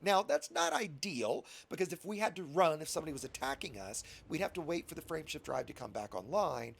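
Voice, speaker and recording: A man's voice: on the high side, very animated, a little fast, vocal fry, breathy edge. Scratchy-voiced man coaching a new gamer. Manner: patient, chatty instructor addressing viewers. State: scratchy voice from a cold. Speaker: game tutorial host. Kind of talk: casual step-by-step game tutorial.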